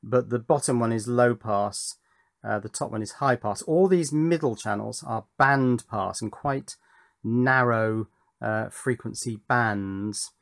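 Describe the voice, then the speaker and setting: A man's voice: mid-range voice, slow pace, gravelly, dry recording, studio quality. A British man presenting an electronics project in a casual, thinking-aloud way, demonstrating as he goes. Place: indoors at a workbench.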